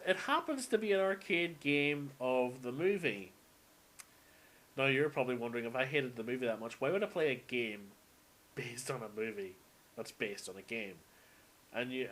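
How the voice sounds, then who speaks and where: A man's voice: medium pitch, fairly bright tone. Opinionated man rambling off the cuff. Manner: opinionated, sweary, emphatic. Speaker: solo host of an audio log on games and films. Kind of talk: unscripted rambling casual rant.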